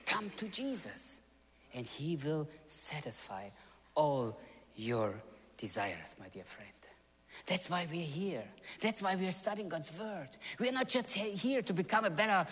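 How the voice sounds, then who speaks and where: A man preaches in a chapel, his voice bright and lively, swinging high and dropping low. He tells stories animatedly and sometimes stops to hunt for an English word. The sound rings with the long reverb of a large hall. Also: a little slow. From born in Russia, grew up in Germany. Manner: animated storytelling, sudden loud rises and soft drops, searches for words. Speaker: seminary chapel speaker. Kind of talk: sermon.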